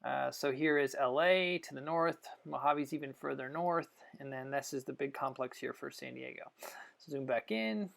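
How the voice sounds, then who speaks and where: A man narrating a flight video as a test pilot, in an unscripted, technical explanatory style with halting pauses. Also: medium pitch, vocal fry, a bit bright.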